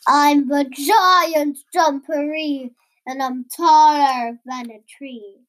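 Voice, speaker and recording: A child voicing a boastful, menacing unseen storybook monster in a loud, drawn-out way. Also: sits high, fairly even intonation, exaggerated voice. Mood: boastful menace.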